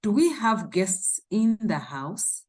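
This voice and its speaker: Female club president, mid-range voice, very unhurried, deliberate and appreciative. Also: medium pitch, sing-song, a little mellow. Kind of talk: addressing a club meeting.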